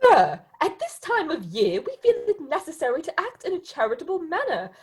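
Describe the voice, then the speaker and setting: A woman's voice: extremely high pitch, huge pitch swings, a little fast, exaggerated voice. A woman playing a kind young lady asking a rich man for charity, earnest and polite.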